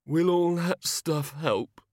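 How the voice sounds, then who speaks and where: A man voicing a miserable, hungover minor god who mumbles slowly and groggily, in a storybook reading. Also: mid-range voice, steady tone, no echo, clean studio sound, voice pushed up. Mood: groggy.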